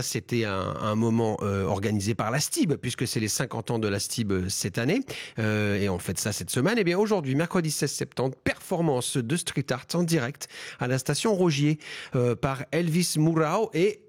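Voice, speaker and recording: A male radio host reads the weather and the local news in a loose, conversational way. He hesitates with little fillers, and his voice swoops around with an animated melody. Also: mid-range voice. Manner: chatty, hesitant, lively intonation. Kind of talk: casual radio presenting.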